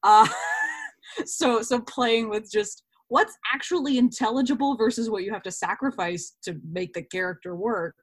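A woman, an actor being interviewed, talking in a casual, animated chat. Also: a little high, measured pace, slightly rough.

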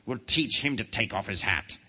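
Storybook king, played by a male narrator: imperious, indignant, commanding, wildly swinging pitch. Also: expressive, moderate pace, pushed and bright, slightly rough, full character voice. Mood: angry. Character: imperious, furious old-fashioned king, quick to rage.

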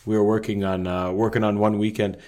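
A man's voice: fairly low pitch, level tone, a little fast, mellow. A man chatting casually, laid-back, with enthusiastic little interjections. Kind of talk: casual chat.

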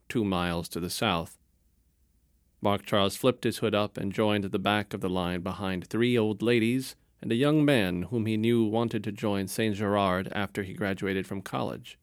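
A man narrating a story in a calm, measured storytelling manner. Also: on the low side, dry recording, studio quality.

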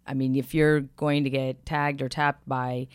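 A man with a smooth, clean voice who muses on what might happen in a careful, measured way. The sound is dry and close. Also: medium pitch, level tone, dry recording. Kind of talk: casual political chat.

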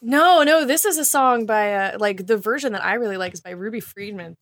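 Casting: female podcast co-host, unscripted and conversational, halting as she works out ideas, dry sound. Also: mid-range voice, a bit bright, no echo. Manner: halting, thinking aloud, colloquial. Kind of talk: casual unscripted podcast chat.

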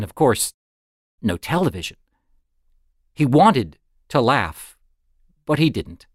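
A man reads a short story aloud in a dramatic, deliberate style. His pitch moves widely from phrase to phrase, and he takes his time, leaving pauses for effect. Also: dry recording, clean studio sound. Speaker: audiobook narrator. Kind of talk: dramatic scripted story narration.

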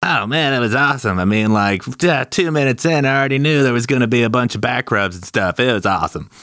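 Guy doing a dopey surfer drummer dude, drawly, bright lively voice, dry close mic. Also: mid-range voice, fast, no echo, voice pushed down, close to an everyday voice. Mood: blissed out. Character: mellow male surfer-dude pacifist metal drummer.

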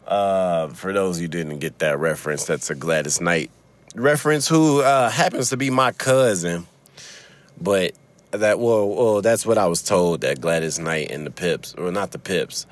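A podcast host with a Chicago accent rambles through a loose, profane monologue while sitting in his car. His voice sits on the low side for a man, and he laughs often and swears freely. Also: unhurried. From Chicago, USA. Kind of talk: casual solo podcast monologue.

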